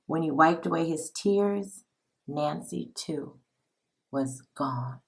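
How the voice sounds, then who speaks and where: A woman reading a children's picture book aloud like a teacher, in a dramatic, deliberate, expressive way. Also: deep voice. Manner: dramatic, deliberate, with acted-out dialogue.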